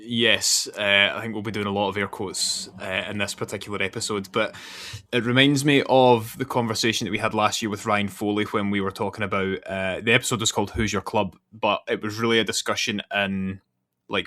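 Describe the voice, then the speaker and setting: Low-voiced male podcast host. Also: sharp tone, dry recording. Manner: talkative, explains at length with fillers. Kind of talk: casual podcast interview.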